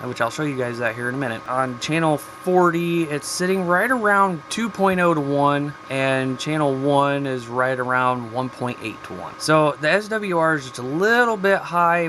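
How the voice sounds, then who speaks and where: A man's voice: mid-range voice, fairly bright tone, a little hiss or hum. A man giving a casual, folksy show-and-tell about his hobby gear as a video host, with informal slang.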